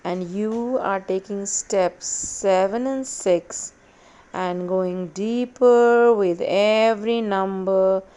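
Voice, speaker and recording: Female meditation host, extremely slow delivery, mid-pitched, repetitive hypnotic phrasing with long pauses. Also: mellow, clean tone. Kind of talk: guided meditation, hypnotic induction.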